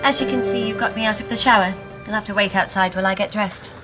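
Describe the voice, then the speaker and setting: A woman's voice: mid-range voice, fast talker, loud music behind the voice, lightly acted, rough recording. An actress playing a guarded, defensive woman under police questioning in a radio drama, curt and resentful.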